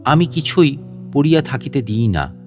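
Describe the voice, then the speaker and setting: A man with a medium-pitched voice reads a literary tale aloud. His delivery is expressive and measured, leaving deliberate pauses between phrases. Plucked guitar music plays behind him. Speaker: storyteller, audiobook narrator. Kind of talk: dramatic literary story narration in first person.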